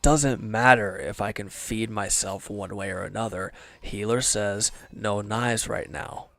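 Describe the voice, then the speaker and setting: Guy doing a beaten down villain henchman for audio rp, low creaky voice, bitter n defensive, halting, kinda hushed. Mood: defensive, irritable. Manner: sullen, halting, sighing, dropping into near-whispers. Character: wounded, defeated male villain henchman, bitter, defensive, despondent. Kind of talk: intimate audio roleplay drama.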